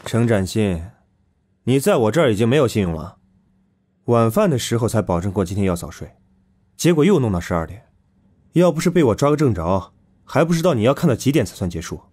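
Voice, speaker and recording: A young man acting as a calm, firm, protective lover in an audio drama, with a husky voice. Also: on the low side, a little fast. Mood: firm, tender.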